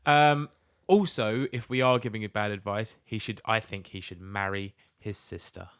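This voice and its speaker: A man's voice: on the low side, moderate pace, a bit bright, smooth, dry recording, clean studio sound. A man co-hosting a podcast, chatting casually with sweary comic banter. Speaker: podcast co-host. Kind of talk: casual comedic chat.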